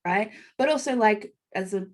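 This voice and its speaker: A woman's voice: mid-range voice, normal speed. A woman guest who co-hosts a radio show, speaking reflectively and fluently on a live video call. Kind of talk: live online conversation.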